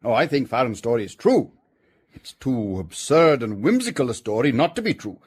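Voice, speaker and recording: A senior police chief in a detective radio drama. His voice is husky and very varied in pitch, and he sets out his reasoning formally and deliberately, with calm confidence. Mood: considered, assured.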